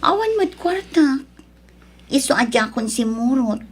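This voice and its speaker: A woman acting a young Ilocano wife in a Filipino audio drama. Her voice is high and she takes her words slowly, with an Ilocano accent. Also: very animated, breathy edge, exaggerated voice. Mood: coaxing, wifely. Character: sly young woman, wife to Brando, grieving daughter.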